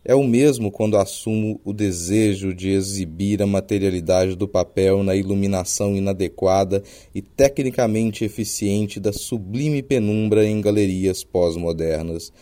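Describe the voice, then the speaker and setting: Low, mellow Brazilian male narrator. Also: steady tone, normal speed. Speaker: podcast host. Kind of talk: scripted narration, literary essay reading.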